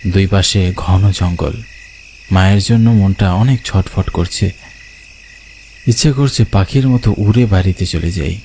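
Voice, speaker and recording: A man's voice: deep voice, measured pace, mellow, slight background noise. A man telling a horror story in dramatic first-person narration.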